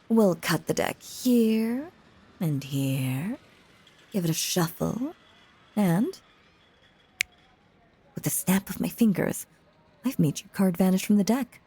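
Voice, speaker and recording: A woman playing a seductive, possessively doting female stage magician in an intimate audio roleplay, theatrical and teasing with a soft, breathy voice. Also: on the low side, a little hiss or hum. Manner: theatrical, flirtatious, silky and teasing.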